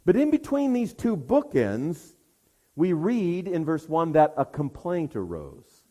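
A man preaching an expository sermon in an emphatic manner, with rhetorical questions and deliberate pauses for weight. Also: fairly high pitch, big pitch swings, dark tone.

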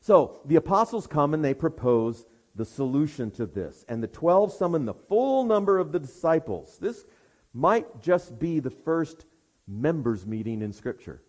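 A man preaching an expository sermon in an emphatic manner, with rhetorical questions and deliberate pauses for weight. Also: on the high side, very animated, a little mellow.

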